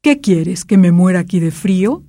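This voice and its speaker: A woman's voice: lowish voice, expressive, normal speed, mellow, dry recording, studio quality. A woman voicing a worried, stammering old king in a storybook. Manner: stammering, fretful. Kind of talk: storybook character voice.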